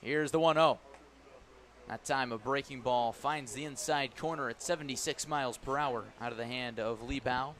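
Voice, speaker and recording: A man calling a baseball game live on radio as the play-by-play announcer, describing each pitch in detail. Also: moderate pace. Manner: descriptive, excited calls rising on big plays. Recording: clear background noise at ballpark. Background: ballpark ambience.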